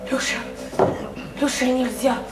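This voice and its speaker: A woman's voice: sits high, fairly even intonation, a little slow, over music. An actress playing a meek, pitying, God-fearing simple woman who pleads artlessly and repetitively in a theatrical radio play. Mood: frightened pleading. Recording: roomy, distant, noisy stage-like radio play recording.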